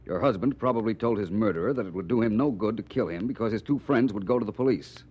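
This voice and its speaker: An actor playing a suave, drily witty gentleman detective, polished and courteous, in 1940s radio style. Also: fairly low pitch, quick pace, slightly rough. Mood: measured, probing. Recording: muffled, hissy 1940s radio recording.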